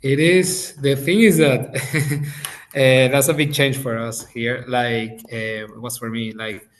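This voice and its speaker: A man from Venezuela with a Venezuelan accent, a native Spanish speaker talking casually as an interview guest, relaxed, restarting phrases and laughing easily. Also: medium pitch, slow. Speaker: podcast guest.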